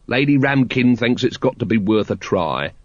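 A man's voice: mid-range voice, lively intonation, normal speed, dry recording, muffled recording, natural voice. A man voicing an impatient, weary watch captain in an audiobook, terse and dry.

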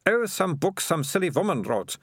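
A man's voice: fairly high pitch, big pitch swings, normal speed, husky, dry recording, clean studio sound, voice pushed up. A man voicing a haughty, impatient, sarcastic young girl in an audiobook, with a scornful, blasé manner. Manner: scornful, blasé, drawling on mocked words. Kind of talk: audiobook character voice.

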